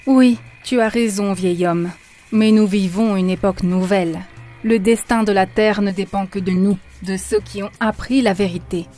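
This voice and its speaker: Woman doing a preachy spiritual teacher in an animated film, earnest, mid-pitched, soft music behind. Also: normal speed, close to an everyday voice. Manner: earnest, preachy, addresses listeners as darlings. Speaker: narrator and teacher.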